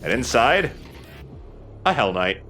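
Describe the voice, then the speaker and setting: A man's voice: mid-range voice, very animated, slow, a bit bright, faint music behind. A man hosting a let's play, chatting casually over his game with self-deprecating banter. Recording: clear background noise. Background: game sound.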